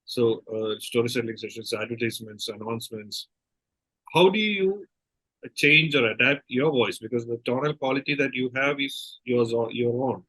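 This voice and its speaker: A man with an Indian accent moderating an interview, putting questions in a halting way and rephrasing as he goes. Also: medium pitch, fairly bright tone, no room sound, rough recording.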